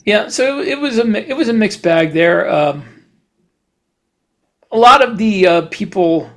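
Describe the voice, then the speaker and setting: A man co-hosting a podcast in casual chat, talking in a halting, rambling way. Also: high voice, lively intonation. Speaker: podcast host; writer.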